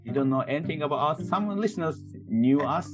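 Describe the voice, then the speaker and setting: A man's voice: fairly high pitch, expressive, brisk, over music. A middle-aged man from Japan with a Japanese accent, a minister hosting a casual faith podcast with careful, simple phrasing. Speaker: head minister and podcast host.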